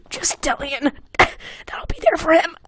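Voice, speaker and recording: Young woman podcaster, high voice, giggly n sweary, reading old fanfic out loud n roasting it, dry close sound. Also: a little slow, no echo. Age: young adult. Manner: giggly, sweary, animated asides. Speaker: podcast host. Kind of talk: casual comedic read-aloud of old fan fiction with commentary.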